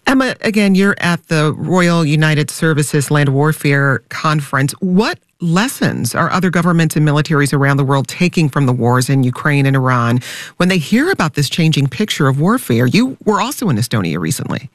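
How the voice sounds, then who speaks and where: Higher-voiced male program host, dry studio sound. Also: lively intonation, normal speed, no echo. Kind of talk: broadcast interview hosting.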